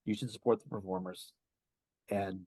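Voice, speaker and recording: A man co-hosting a wrestling podcast, making a calm, even-handed argument. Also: low voice. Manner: measured, reasoning, even-handed. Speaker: podcast co-host. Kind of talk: casual wrestling podcast chat.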